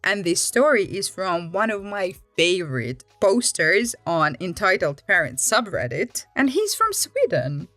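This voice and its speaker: A woman from Finland hosting a story channel, reading aloud in a dramatic, expressive way. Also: medium pitch, unhurried, hardly any pauses, no echo. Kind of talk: animated read-aloud storytelling. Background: background music.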